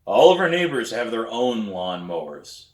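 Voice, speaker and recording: A man voicing a grouchy, skeptical dad in a children's book, calm but firm and sarcastic. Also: lowish voice, normal speed. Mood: stern, sarcastic. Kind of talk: acted dialogue in a children's book reading. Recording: roomy echo.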